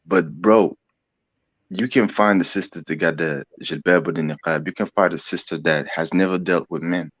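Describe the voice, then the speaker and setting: A man in his thirties, raised in France, speaking English as a second language while a little sick, halting and searching for words in a casual discussion. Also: low voice, fairly even intonation, a bit bright, husky, no room sound. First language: Algerian family language, not English. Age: 30s. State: sick, coughing. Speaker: guest. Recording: dry, dull lo-fi audio.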